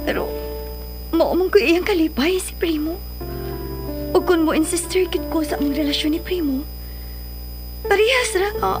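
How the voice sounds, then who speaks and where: A woman's voice: high-pitched, natural voice. An actress playing a doting but guarded mother of a grown daughter in a radio drama, with a Filipino accent. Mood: troubled, reflective. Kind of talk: Philippine radio drama acting.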